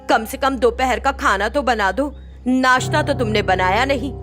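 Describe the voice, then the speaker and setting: A woman's voice: fairly high pitch, moderate pace, a bit bright, soft background music, lightly acted. A woman voicing an older mother-in-law in a storybook tale, bossy and exasperated but placable. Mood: annoyed. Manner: scolding, commanding homemaker. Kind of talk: acted dialogue in a Hindi moral story.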